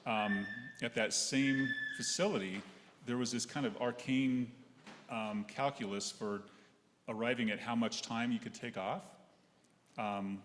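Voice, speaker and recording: Low-voiced middle-aged man in echoey hall. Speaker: psychologist giving a personal reflection at church. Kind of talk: prepared personal reflection to a congregation. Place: large echoey indoor church space, seated. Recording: echoey hall with noticeable background noise.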